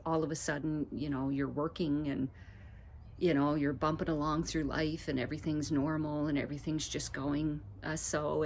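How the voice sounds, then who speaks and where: A woman's voice: deep voice, vocal fry, a little mellow, a little hiss or hum. A woman in her fifties vlogging casually from her deck, a bit stuffy from allergies, rambling and laughing as she talks. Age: 50s. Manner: chatty, rambling, laughs often. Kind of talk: casual vlog update. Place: outdoor deck. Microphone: camera mic, no lapel mic.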